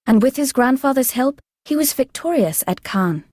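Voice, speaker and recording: A woman playing a strict, composed governess in a video game, formal and measured as she instructs. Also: high voice, expressive, darkish tone, dry recording, clean studio sound. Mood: patient, prim. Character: strict, composed female governess tutoring a child. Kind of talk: video game character dialogue.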